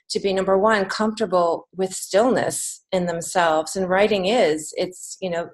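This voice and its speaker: A woman speaking as a guest in a conversational interview, explaining things earnestly. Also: lowish voice. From United States. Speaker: podcast guest, children's author and creative writing teacher.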